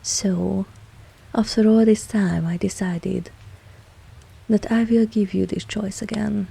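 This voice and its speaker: A woman playing a seductive, possessive vampire mistress in an intimate ASMR audio roleplay, breathy and often whispering, teasing and commanding. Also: mid-range voice, mellow, full character voice. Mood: coaxing, serious. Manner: slow, teasing, dominant, with pet names.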